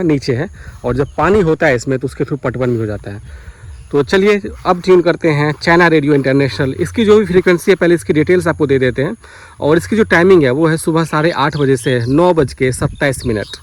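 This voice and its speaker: A man from India, explaining things methodically in a vlog tutorial and repeating key details. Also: a little high. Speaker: vlogger presenting a radio tutorial. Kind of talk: explanatory vlog commentary while demonstrating. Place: outdoors beside farm fields.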